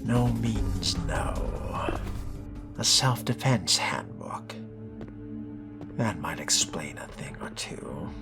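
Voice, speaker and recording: A man's voice: low-pitched, very animated, very slow, creaky, pauses often, whispered. A man role-playing a video game character, giving dry, sardonic commentary in formal wording. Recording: loud music behind the voice.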